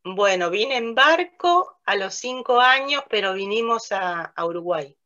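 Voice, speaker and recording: A woman's voice: medium pitch, lively intonation, unhurried, pushed and bright, highs cut off. An older woman from Italy, an interview guest who works as a theatre narrator and director, telling her story in a casual and hesitant way, full of fillers and restarts. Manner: hesitant, many fillers and restarts, laughs often. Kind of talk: casual interview, recounting memories. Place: small room with a plain light wall. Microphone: video call, webcam facing her.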